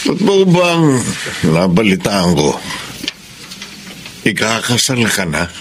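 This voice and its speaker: Drunk, possessive Filipino suitor. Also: male voice, lowish voice, big pitch swings, slow, a little hiss or hum. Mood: demanding. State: tipsy. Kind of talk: melodramatic Tagalog radio drama acting.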